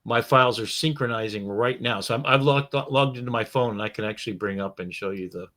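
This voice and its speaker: A man chatting casually as a software expert, enthusiastic and exclamatory, rambling as he explains. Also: mid-range voice, fairly quick. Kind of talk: casual live tutorial chat.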